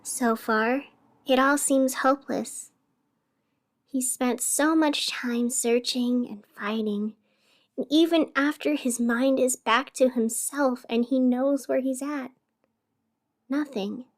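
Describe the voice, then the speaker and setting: High-voiced, unhurried radio host played by a woman. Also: steady tone. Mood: grave, storytelling. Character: sleepless, over-caffeinated female radio host in strange city. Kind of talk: scripted radio fiction narration.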